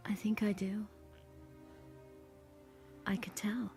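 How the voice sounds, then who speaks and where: A woman playing a secretive, anxious young woman in an audio drama, speaking in a halting, intimate murmur. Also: mid-range voice, level tone, soft background music. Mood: tender, earnest. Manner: hushed, halting, breathy murmur. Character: secretive, anxious young woman living under oppressive rule.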